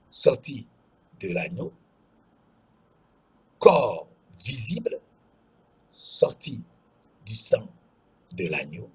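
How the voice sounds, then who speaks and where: A man with a West African French accent preaching a sermon in an emphatic, repetitive way, with a husky, rough-edged voice. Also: medium pitch, poor audio. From Togo. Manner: emphatic, repeats key phrases.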